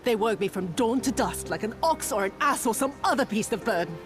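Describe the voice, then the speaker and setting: An actress playing an embittered, cruel female spy in a video game, with theatrical, deliberate delivery and archaic formal diction. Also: a little high, expressive, close to an everyday voice. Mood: bitter. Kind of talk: dramatic video game villain monologue. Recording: dramatic music behind the voice.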